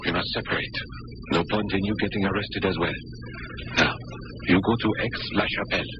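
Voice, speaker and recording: An actor playing a cool, bold French air ace on the run in an old-time radio drama, theatrical and self-assured. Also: male voice, lowish voice, huge pitch swings, moderate pace. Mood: urgent, hushed. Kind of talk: old-time radio drama acting with first-person narration. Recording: noisy, dull old radio broadcast recording.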